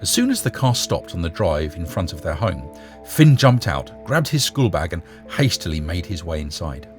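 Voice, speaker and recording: A man narrates a short story in a very low voice. His delivery is animated, with wide swings in pitch. Faint music plays underneath. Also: moderate pace.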